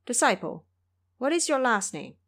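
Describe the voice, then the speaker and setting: A humble Buddhist master, acted by a woman in an audio drama. He speaks politely and formally, with a gentle, courteous temper and a varied melody. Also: a little high, sing-song, moderate pace, voice pushed up. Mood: kind.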